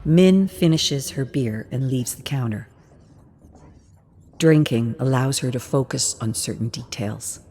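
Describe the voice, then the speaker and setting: A woman narrating a dramatic scripted story in a measured, hushed and intense manner. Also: very deep voice, a little hiss or hum.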